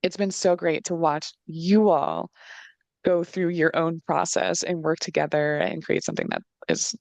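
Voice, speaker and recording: A woman's voice: deep voice, fairly even intonation, unhurried, vocal fry, a little mellow, no echo. A young woman hosting a casual interview over a video call on a headset. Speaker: interviewer, show producer. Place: indoor room, on a video call.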